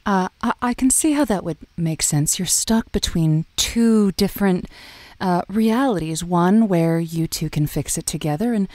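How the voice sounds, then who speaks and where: A woman's voice: on the low side, unhurried, a little mellow, dry recording, lightly acted. A woman playing a radio advice host, wry and frank, with hesitant reassurance in a call-in drama. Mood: concerned, careful.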